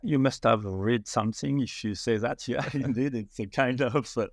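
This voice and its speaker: A man's voice: mid-range voice, moderate pace, no echo, clean studio sound. A middle-aged man from France with a French accent, a founder being interviewed, speaking hesitantly with many fillers and restarts. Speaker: startup founder, guest. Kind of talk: podcast interview conversation.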